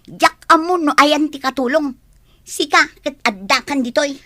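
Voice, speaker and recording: An actress playing a spoiled, bossy young stepdaughter who orders people around. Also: female voice, high-pitched, normal speed, sharp tone, dry recording. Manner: bossy, whiny commands. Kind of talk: radio drama acting.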